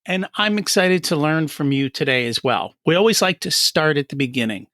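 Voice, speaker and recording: A man hosts a podcast and asks his questions in a chatty, friendly manner with light laughter. His voice sits fairly high for a man. It sounds dry and close, with no room echo. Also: expressive, moderate pace, sharp tone. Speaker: podcast host. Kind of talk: casual podcast interview.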